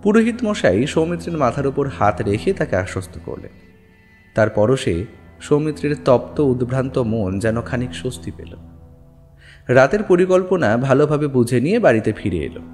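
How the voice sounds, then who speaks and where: Animated male ghost-story narrator, long reverb. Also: medium pitch, moderate pace. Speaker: audiobook narrator. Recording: long reverb, music underneath. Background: background music.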